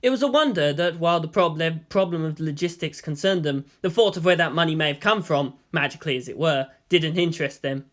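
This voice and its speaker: A man's voice: fairly high pitch, fairly quick, hardly any pauses, fairly bright tone. A man reading a story aloud as a narrator, in a scripted storytelling style. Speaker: fan fiction reader.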